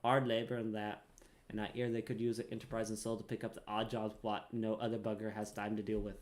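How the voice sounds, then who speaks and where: A man voicing a gruff, chatty working-class tavern keeper from a fantasy game, with folksy, halting delivery. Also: lowish voice, completely flat delivery, pitched below the performer's own voice, lightly acted. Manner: folksy, colloquial, stumbles while reading aloud. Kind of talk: reading fantasy game dialogue aloud.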